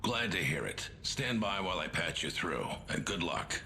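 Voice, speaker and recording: A gruff video game colonel with a low, gravelly voice. He is blunt, wary and commanding, with forceful delivery over some rumbling noise. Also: expressive, normal speed, exaggerated voice. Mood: wary, stern. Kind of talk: scripted video game briefing.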